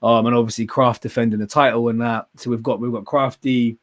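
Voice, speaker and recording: A man's voice: mid-range voice, steady tone, a little fast. A young man hosting a battle rap livestream, talking casually and animatedly, swearing freely and laughing often. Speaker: host of a battle rap stream.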